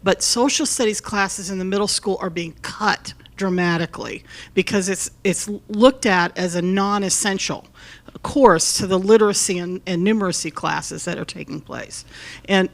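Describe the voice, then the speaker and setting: A woman's voice: medium pitch, moderate pace. Woman with a big, advocating voice. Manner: loud, advocating. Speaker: audience member.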